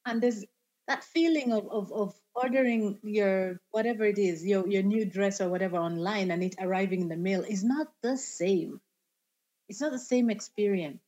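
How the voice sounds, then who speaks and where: A woman's voice: medium pitch, warm tone, lo-fi sound. A woman guest in a casual podcast interview, talking animatedly and laughing easily.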